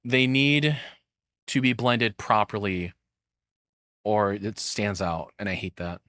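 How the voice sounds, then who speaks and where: A man's voice: lowish voice, steady tone, slow pace, a bit bright, no echo, studio quality. A man giving casual, opinionated commentary, rambling and swearing bluntly as he goes. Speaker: streamer reacting to a video.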